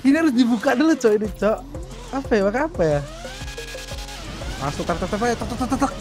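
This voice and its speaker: A man's voice: very high voice, big pitch swings, fairly quick. A man in casual gameplay banter, giving slangy, repetitive instructions with little vocal sound effects. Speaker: gaming companion. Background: music.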